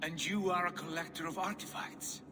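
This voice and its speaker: A man's voice: high-pitched, fairly even intonation, normal speed, lightly acted. An actor playing an imperious Viking lord convinced of his own divinity, with a grandiose, commanding manner in a dramatic video game. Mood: lofty. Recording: clear ambient noise behind.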